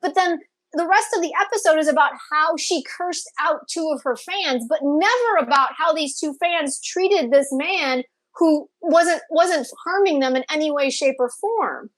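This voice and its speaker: A woman's voice: high-pitched, normal speed, low-quality recording. A woman co-hosting a casual, opinionated review chat, gushing and emphatic, often repeating phrases for emphasis. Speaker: co-host of a drama review show.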